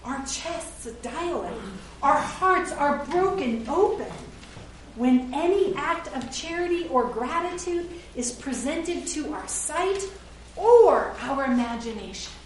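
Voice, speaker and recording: A middle-aged woman pastor delivers an earnest, conversational sermon with a slightly high voice, now and then stopping to fix a word. She is picked up from some distance away in a church with heavy, ringing echo. A faint hiss sits under her voice. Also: animated, slow pace. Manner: earnest, conversational preaching with self-corrections. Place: church sanctuary. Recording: roomy echo, heard from a distance.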